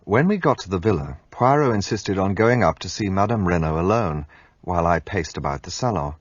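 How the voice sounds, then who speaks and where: A man's voice: deep voice, lively intonation, a little fast, breathy edge, no echo, muffled recording. An actor playing a loyal, earnest male companion who also narrates in a radio play.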